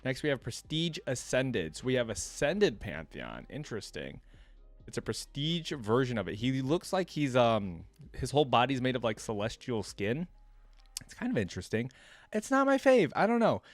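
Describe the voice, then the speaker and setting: Man, medium pitch, dry recording, casual reaction host, chatty, thinking aloud. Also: big pitch swings, moderate pace, no echo, soft background music. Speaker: host of a gaming reaction channel.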